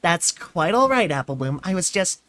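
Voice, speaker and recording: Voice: medium pitch, big pitch swings, fairly bright tone. A reader voicing a sensible young female unicorn who speaks politely but firmly. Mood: stern. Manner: polite, then stern and hurried.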